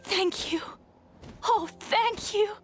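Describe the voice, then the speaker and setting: A woman voicing an earnest, excitable young trainee flier girl in a video game, eager and exclamatory. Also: very high-pitched, drawn-out words, faint music behind, full character voice. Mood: amazed, elated.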